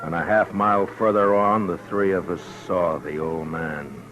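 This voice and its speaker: An actor playing a stern, weary marshal in a 1950s radio western, speaking in a terse, matter-of-fact way. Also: male voice, low voice, fairly even intonation, normal speed, over music, natural voice, poor audio. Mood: grim, measured. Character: stern, weary male frontier marshal narrating and giving orders. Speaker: radio drama lead and narrator.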